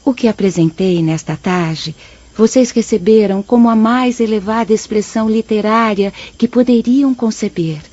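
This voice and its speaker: An actress with a Brazilian accent playing a humble, solemn spirit poet who lectures formally in a radio drama. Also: female voice, medium pitch, warm tone, close to an everyday voice. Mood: solemn, admonishing. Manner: formal, didactic, measured. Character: humble, solemn female spirit poet teaching her brethren. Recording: slightly dull, band-limited audio.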